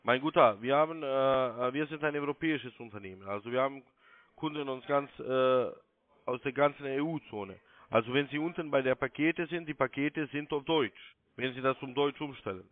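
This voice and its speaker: A man's voice: mid-range voice, normal speed, no echo. A man working as a call centre sales agent, giving insistent, repetitive step-by-step instructions over the telephone. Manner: insistent, repeats himself. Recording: muffled narrow-band phone line.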